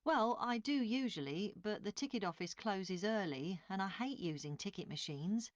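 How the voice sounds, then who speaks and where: A woman's voice: medium pitch, lively intonation, moderate pace, dry recording, close to an everyday voice. A woman playing an obliging, matter-of-fact train passenger answering questions in a scripted listening-test dialogue. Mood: mildly complaining. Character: woman journalist, obliging but mildly critical train passenger.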